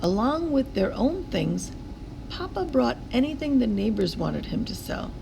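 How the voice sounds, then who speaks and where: A woman reading a children's picture book aloud in a deliberate, expressive storytelling manner. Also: a little high, vocal fry, background noise. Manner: deliberate storytelling with acted character voices.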